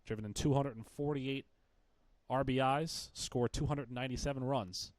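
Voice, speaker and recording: A man's voice: mid-range voice, steady tone, normal speed, dry recording. Male baseball play-by-play commentator, dry sound. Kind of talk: live sports commentary.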